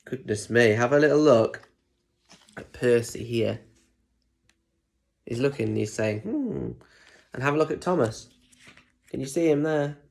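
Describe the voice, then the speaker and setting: A man's voice: medium pitch, moderate pace, gravelly, pauses often, a little mellow. A man reading a children's story aloud expressively, with chatty asides and questions to young listeners about the pictures.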